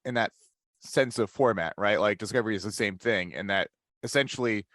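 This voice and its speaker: A man's voice: fairly low pitch, brisk, a bit bright, no room sound, clean studio sound. A man on a podcast panel, chatting casually in an explanatory way with precise wording. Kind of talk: casual chat.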